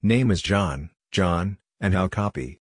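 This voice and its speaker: Male narrator for a phrase drill: deep, slow, clipped and measured delivery. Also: animated, background noise. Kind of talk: scripted read-out of short radio phrases.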